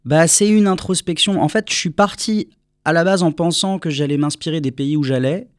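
A young man from France, a singer answering interview questions in a relaxed, rambling way with many fillers. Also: fairly high pitch, moderate pace, no echo, studio quality. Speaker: singer guest.

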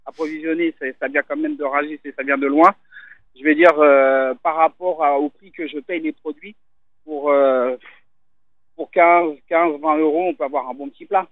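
A man's voice: a little high, normal speed, no room sound. Man on a tinny phone line. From Italy. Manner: relaxed, hesitant with many fillers. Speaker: restaurant owner, phone guest. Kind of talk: casual phone interview. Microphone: telephone.

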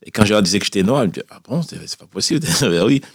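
A man's voice: on the low side, animated, racing, slightly rough, dry recording, clean studio sound. A man in his forties from Cameroon, telling his own story as a radio interview guest in a conversational, repetitive way. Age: 40s. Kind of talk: spontaneous personal storytelling in an interview.

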